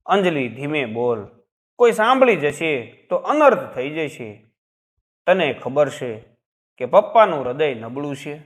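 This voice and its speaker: A worried husband with a secret played by a male narrator in a family drama story. He speaks urgently and heavily, with anxious, lively inflection. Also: a little high, a little slow, pauses often. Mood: anxious, hushing. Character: worried, secretive married man hiding family trouble.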